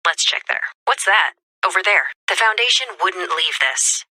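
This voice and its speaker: An actress playing an urgent, commanding field leader in a video game, giving terse, serious orders. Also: female voice, on the low side, expressive, normal speed, bright, forceful tone, husky, dry recording, full character voice. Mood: puzzled. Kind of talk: video game character voice lines.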